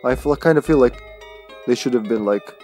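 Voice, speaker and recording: A man gives casual live gameplay commentary. His pitch sits a little on the deep side. He rambles playfully and swears freely, with music playing behind him. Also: normal speed, dark tone. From Serbia. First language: Serbian. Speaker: video game livestreamer.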